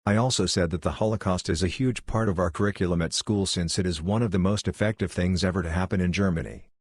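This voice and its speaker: A man reading online forum posts aloud in a plain, even manner. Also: low-pitched, fast talker, breathy edge, dry recording, studio quality.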